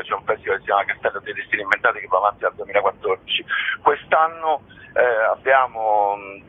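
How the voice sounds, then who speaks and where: A man explaining things as a guest in a radio interview, halting as he searches for words. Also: medium pitch, slight background noise. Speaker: theatre company guest. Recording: narrow, tinny phone line.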